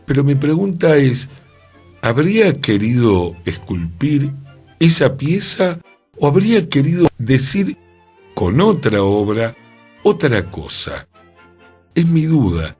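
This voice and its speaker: Slow, husky man. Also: medium pitch, very animated, a little mellow, soft background music. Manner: reflective, slow, musing. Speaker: radio co-host. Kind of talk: cultural radio discussion. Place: radio studio.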